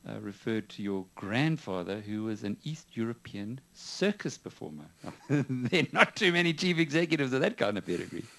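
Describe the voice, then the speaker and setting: A man's voice: mid-range voice, big pitch swings, moderate pace, creaky, fairly bright tone. A man interviewing a business guest, asking probing questions with a wry, conversational touch.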